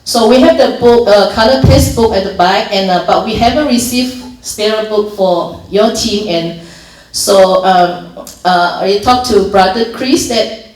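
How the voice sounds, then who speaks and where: A woman with a Malaysian accent walks volunteers through a training session in an informal, step-by-step way, often restarting her sentences and filling pauses. She sounds set back from the microphone, with the echo of a roomy hall around her. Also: medium pitch, a little mellow. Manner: explains steps plainly, many restarts and fillers. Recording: echoey room from far off.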